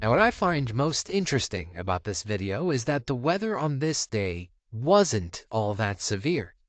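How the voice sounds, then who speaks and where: A man reading scripted documentary-style narration for a countdown video, with measured, dramatic phrasing. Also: mid-range voice, sing-song, no room sound, faint music behind.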